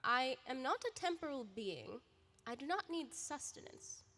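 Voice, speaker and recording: Woman as wry, theatrical Death, high and very bright. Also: measured pace, exaggerated voice. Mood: wry, mildly offended. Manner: formal, deadpan, grandly theatrical. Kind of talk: radio drama acting.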